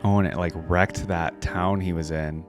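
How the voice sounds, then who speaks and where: A man's voice: sits low, steady tone, moderate pace, loud music behind the voice. A man hosting a reaction video, giving casual off-the-cuff commentary and musing aloud in short remarks.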